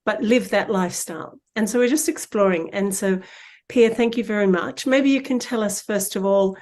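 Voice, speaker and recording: A woman hosting an unscripted conversational interview in an affirming, gently reflective way. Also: mid-range voice, normal speed. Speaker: interview host.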